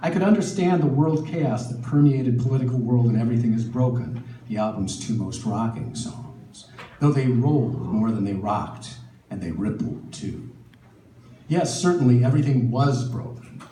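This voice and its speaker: A middle-aged man with an American accent reads from his own memoir at a live event. His voice sits at an average pitch. It is picked up from a little distance in an echoey room, with faint noise behind it. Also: expressive, moderate pace, dark tone. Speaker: author reading from own book. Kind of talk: live literary reading of a personal memoir.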